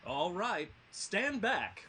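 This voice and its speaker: A man's voice: high-pitched, very animated, drawn-out words, a crowd in the background, full character voice. A man playing a tender-hearted, anxious and weepy tin woodman in a live fantasy table read, fretful and melodramatic. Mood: gentle.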